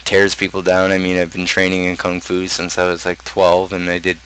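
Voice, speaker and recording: A man with a low-pitched voice talks casually through song lyrics. His delivery is halting, with repeated starts, and stays quite flat. There is some noise behind him. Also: low-quality recording. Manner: halting, repeats and restarts phrases. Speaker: streamer and rapper. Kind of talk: casual talk, explaining own song lyrics.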